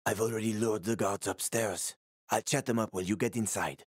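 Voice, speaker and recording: A man's voice: on the low side, fairly even intonation, a little fast, slightly rough, no echo, clean studio sound. A man playing a streetwise, wisecracking middle-aged survivor and smuggler in a video game, talking in a sly, casual, teasing way. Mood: conspiratorial, matter-of-fact. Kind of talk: video game voice acting, conversational.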